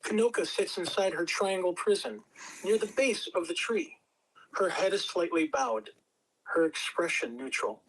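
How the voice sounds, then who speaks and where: A man's voice: high-pitched, normal speed, a bit bright, breathy edge, poor audio. A man reading stage directions as the narrator at a table read of a fantasy script.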